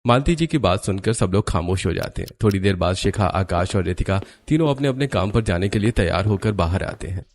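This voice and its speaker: Low-voiced male story narrator. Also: lively intonation, brisk, hardly any pauses. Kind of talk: scripted cartoon story narration.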